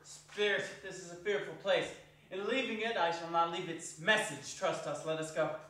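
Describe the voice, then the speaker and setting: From far off in a very echoey hall comes a man playing an old miser, shaken and pleading. His declaimed, trembling voice is bright and forceful, as in a staged Victorian ghost story. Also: high-pitched, fairly even intonation, normal speed. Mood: fearful pleading. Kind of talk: dramatic stage reading. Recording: echoey hall, far from mic, faint noise.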